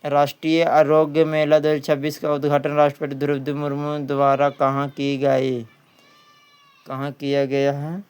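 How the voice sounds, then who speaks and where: A man's voice: fairly high pitch, monotone, normal speed, a little mellow, clean tone. A man with a Bihari accent teaching an exam quiz lesson, reading questions aloud, often repeating them, then giving the answers.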